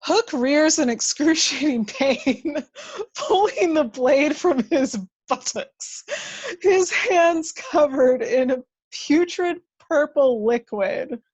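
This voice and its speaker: A woman's voice: high-pitched, lively intonation, slow, darkish tone, dry recording. A woman reading out the action of a screenplay as a dramatic narrator. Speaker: script narrator. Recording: dull lo-fi, dry microphone.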